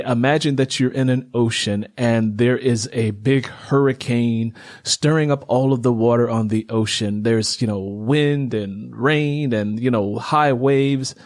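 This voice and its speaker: A middle-aged man hosts a casual interview, talking in a rambling, reflective way. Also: mid-range voice. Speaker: interview host.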